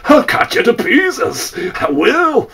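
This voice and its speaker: A man's voice: on the low side, expressive, takes it slowly, vocal fry, far from the mic, pitched below the performer's own voice, full character voice. A man playing a brutal, furious old sea captain who bellows threats. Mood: raging.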